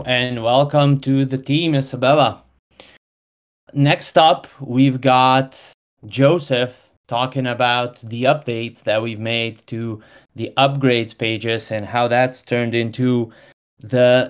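A man's voice: mid-range voice, fairly even intonation, slow pace, soft background music. A man hosting a community call, introducing the next speaker. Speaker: host. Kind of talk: handing over between speakers.

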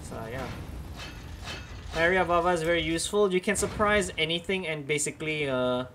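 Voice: medium pitch, animated, a little fast, fairly bright tone, slight background noise. Chatty young streamer, American English accent. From Indonesia. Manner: chatty, self-correcting, laughs often. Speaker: game streamer. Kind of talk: casual gameplay commentary, chatting with viewers.

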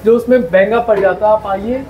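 A man at a restaurant walks through the menu, rattling off combos and prices in an easy sales patter. His voice is high for a man. Clear restaurant chatter and clatter sit behind him. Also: steady tone, fairly quick, darkish tone, a little hiss or hum. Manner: salesy, listing prices and deals. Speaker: restaurant staff. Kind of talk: explaining menu and offers.

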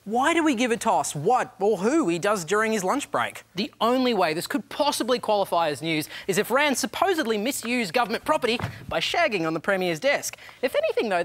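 A woman's voice: mid-range voice, big pitch swings, fairly quick, hardly any pauses. A young woman presenter in her twenties delivering satirical commentary with a cheeky, sardonic tone. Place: cluttered TV studio set.

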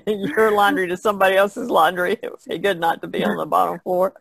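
A woman's voice: lowish voice, fairly even intonation, brisk, gravelly, a bit bright, breathy edge, dry recording. A woman co-hosting a podcast in casual, reflective chat, plainspoken and restating her points.

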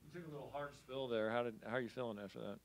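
A man asking questions as a sports reporter, in halting, repetitive, loosely built phrases. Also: medium pitch. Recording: faint background noise and clicks.

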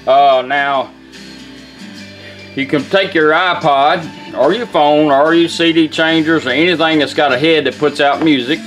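A man's voice: on the high side, measured pace, a bit bright. A middle-aged or older man talks in a folksy, plain-spoken way, casually demonstrating a product hands-on. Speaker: vintage car radio restorer and product demonstrator. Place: indoors at a workbench. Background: music and radio playing.

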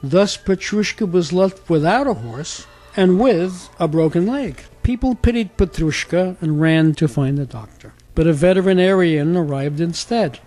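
A man's voice: on the high side, lively intonation, normal speed, few pauses, darkish tone. A man reading a scripted fairy tale as a storyteller.

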